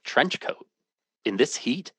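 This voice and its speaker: An actor playing an anxious, determined family man searching for his brother in a radio drama, speaking in an earnest, halting, questioning way. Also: fairly bright tone, no echo. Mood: puzzled, searching. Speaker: protagonist and narrator.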